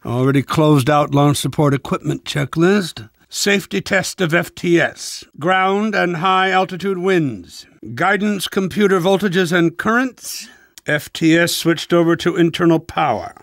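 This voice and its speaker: A man's voice: fairly high pitch, unhurried, fairly bright tone, no room sound, studio quality. A man playing a pompous, irritable older scientist in a video game, speaking with sarcastic, impatient, fussy enunciation. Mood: brisk, businesslike. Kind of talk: video game character voice acting.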